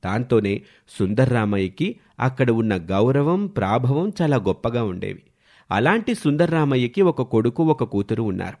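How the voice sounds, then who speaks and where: A man's voice: mid-range voice, expressive, normal speed, a little mellow. A man reading scripted narration as the storyteller of a village tale.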